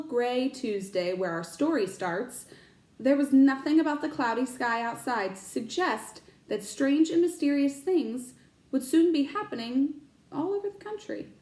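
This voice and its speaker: A woman teacher reading a children's storybook aloud, expressive and stopping to explain words to young listeners. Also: high-pitched, echoey room.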